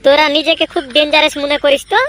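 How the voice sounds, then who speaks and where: A woman's voice: sits high, flat delivery, rapid-fire, background noise, lightly acted. A woman voicing a boastful young village lad in a comedy cartoon, with colloquial, argumentative speech. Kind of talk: Bengali comedy cartoon voice acting.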